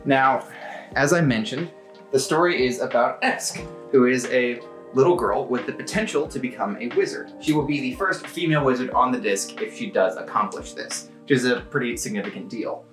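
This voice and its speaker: A man's voice: medium pitch, moderate pace, a bit bright, soft background music. A man hosting a book review video, giving casual, chatty commentary with wry asides. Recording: roomy, echoing home recording.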